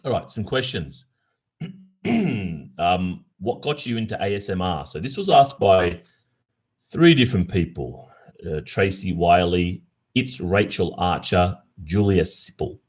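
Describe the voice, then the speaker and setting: A man's voice: low voice, very animated, unhurried, a little mellow, slightly rough. An Australian man hosting a casual livestream, chatting with his viewers and reading out their comments. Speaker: ASMR roleplay streamer.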